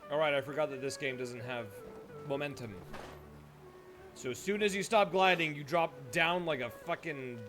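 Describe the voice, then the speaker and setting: A man's voice: on the high side, normal speed, over music. A man giving casual, sardonic and joking commentary while streaming a game.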